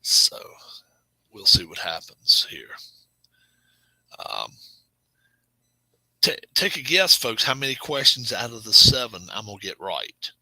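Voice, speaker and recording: A middle-aged man hosting a casual live stream, chatting with viewers in a rambling, self-correcting way and sometimes dropping to a low murmur. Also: big pitch swings, slow, pauses often, pushed and bright, no echo, low-quality recording. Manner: drops to a low murmur at times. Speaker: channel host.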